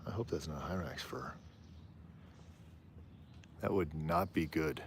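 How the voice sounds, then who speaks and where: A man with a low voice hosting a live stream outdoors on a rooftop, chatting in a rambling, easygoing way. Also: takes it slowly, slight background noise. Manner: rambling, easygoing, often trails off and laughs. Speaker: livestream host. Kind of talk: casual live commentary.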